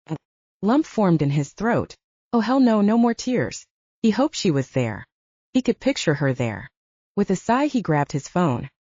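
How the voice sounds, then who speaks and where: Husky woman narrating, lively pitch, muffled audio. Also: no room sound. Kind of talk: expressive scripted fiction narration.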